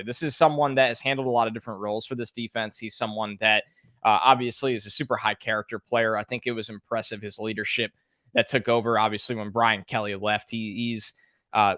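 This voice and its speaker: A man appearing as a guest analyst on a podcast, talking through his views in a relaxed, thoughtful way with casual fillers. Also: on the low side, dry recording, clean studio sound. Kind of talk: conversational podcast interview.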